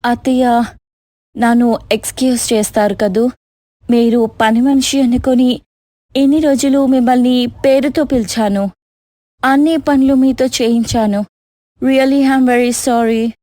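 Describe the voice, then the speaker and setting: A woman's voice: a little high, steady tone, measured pace, pauses often, warm tone, dry recording, natural voice. A young foreign-raised daughter-in-law in a cartoon moral tale, acted by a woman. She is polite and westernised, dropping English phrases into her talk, in a close, dry recording. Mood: apologetic. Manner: mixes English phrases into speech. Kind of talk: moral story cartoon voice acting.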